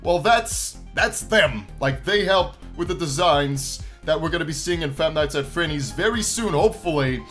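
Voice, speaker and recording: Animated higher-voiced man commentating a game. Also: moderate pace, hardly any pauses, soft background music. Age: 20s. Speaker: gaming channel host. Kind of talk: game playthrough commentary, reading story text aloud.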